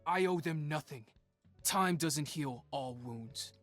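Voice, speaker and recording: A man's voice: fairly high pitch, takes it slowly, fairly bright tone, soft background music. A man playing a cocky, determined young male inventor and street fighter in punchy, brash video game lines. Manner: punchy, brash one-liners.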